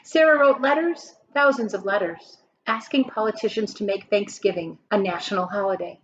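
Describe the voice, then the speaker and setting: A woman's voice: medium pitch, normal speed, dull audio. A woman reading a children's picture book aloud like a teacher, with emphatic, dramatic phrasing and questions put to her listeners. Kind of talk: expressive children's story read-aloud.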